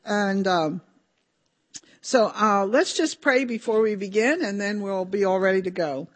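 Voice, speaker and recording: An older woman giving a talk at a conference, her voice slightly hoarse and deeper than usual for a woman. She speaks at an ordinary pitch, hesitant and informal, pausing often with ums and starting sentences over. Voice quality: deep for a woman. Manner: hesitant, many ums and restarts.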